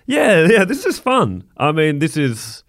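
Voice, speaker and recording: A man's voice: a little high, huge pitch swings, measured pace, dry recording. A man co-hosting a casual podcast chat, dry and wry, with frequent ums. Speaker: podcast co-host.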